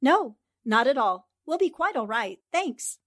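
A determined lady reporter in a 1940s radio mystery. Her voice is fairly high and very animated, with a probing, inquisitive manner. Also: measured pace, full character voice. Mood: casual, polite. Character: inquisitive, determined female newspaper reporter investigating a crime. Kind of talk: 1940s radio drama acting.

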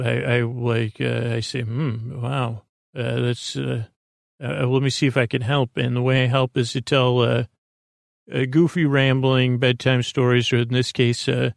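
A man hosting a bedtime podcast in a creaky voice, rambling in a meandering, hesitant way with frequent stammers. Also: medium pitch, no room sound, studio quality. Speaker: podcast host.

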